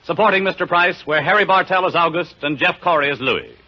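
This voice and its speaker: A male radio announcer reading the closing credits of a vintage radio drama in a crisp, formal style. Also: a little high, fairly quick. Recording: lo-fi old radio broadcast with music.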